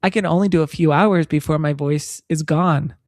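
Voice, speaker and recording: A woman's voice: deep voice, normal speed, darkish tone, no room sound, studio quality. A woman co-hosting a podcast, chatting casually and explaining things with examples. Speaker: podcast co-host. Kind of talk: casual chat. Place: recorded in Texas.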